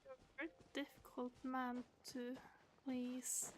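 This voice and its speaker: Female game streamer, high register, unhurried delivery, casual chat with murmured asides. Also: monotone, a little hiss or hum.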